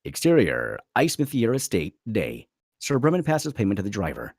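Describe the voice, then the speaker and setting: A man's voice: on the low side, huge pitch swings, normal speed, darkish tone, no echo, studio quality. A man narrating a screenplay dramatically at a table read.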